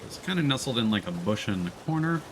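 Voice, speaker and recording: A man giving casual live commentary as he plays a game, musing aloud in an unhurried way. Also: medium pitch, sing-song, background noise. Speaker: game streamer. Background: game music.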